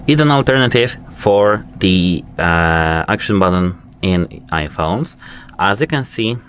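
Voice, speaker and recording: A man's voice: low-pitched, lively intonation, measured pace, fairly bright tone, slight background noise. A man hosting a casual phone tutorial, explaining each step and repeating "as you can see". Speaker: tech tutorial host. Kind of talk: casual phone how-to walkthrough.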